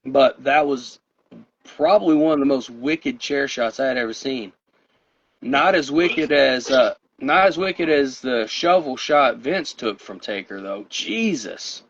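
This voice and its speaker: A man's voice: mid-range voice, lively intonation, measured pace. A tired man with a voice wearing out, rambling through a casual chat show as co-host. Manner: rambling, tired, laughs often. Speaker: podcast co-host. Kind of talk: casual chat about wrestling. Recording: dull lo-fi sound.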